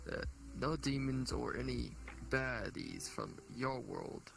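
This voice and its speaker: A man talks slowly in a heavily gravelly, fry-laden voice that moves widely in pitch. He hesitates and stops often as he roleplays, and music is clearly heard behind him. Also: wildly swooping pitch. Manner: hesitant, halting, full of fillers. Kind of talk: casual in-character roleplay.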